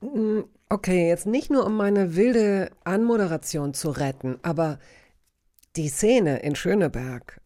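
A woman's voice: lowish voice, animated, measured pace, warm tone. A middle-aged woman hosting a casual, conversational interview. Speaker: interview host.

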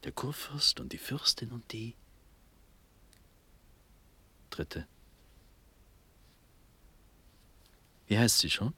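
An actor playing a dazed, dreamy young Prussian prince in a verse radio drama, fervent and halting, with breathy speech drifting into whispers. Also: male voice, medium pitch, expressive, sharp tone, full character voice. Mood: dreamy, searching. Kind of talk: classical German stage verse radio drama.

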